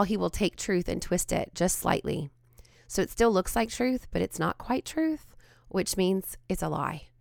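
Woman, podcast host, low-pitched, gravelly and hoarse from a cold, dry close sound, earnest teaching. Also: no room sound. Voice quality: raspy. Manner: earnest, reflective, frequent ums and restarts.

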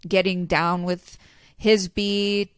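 A woman hosting a podcast in casual chat, quick and chatty with frequent laughs, a little stuffy today. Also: medium pitch, level tone, clear, resonant tone, dry recording, studio quality. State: slightly stuffy nose. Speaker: podcast host.

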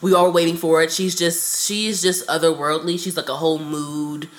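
A young woman co-hosting a casual chat, swearing freely and talking in drawn-out, hesitant runs, a little nasal from a cold. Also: sits low. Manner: profane, animated, drawn-out and hesitant. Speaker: podcast co-host.

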